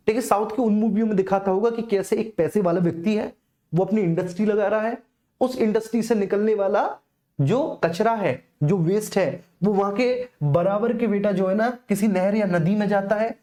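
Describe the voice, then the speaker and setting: A woman teaches an exam-prep class in a dark, relaxed voice at a middling pitch. She lectures informally, restates key phrases for emphasis and keeps checking that students follow. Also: moderate pace.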